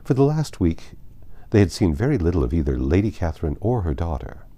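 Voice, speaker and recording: A man narrating a classic novel aloud as an audiobook, telling the story in measured phrases. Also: low-pitched, sing-song, warm tone.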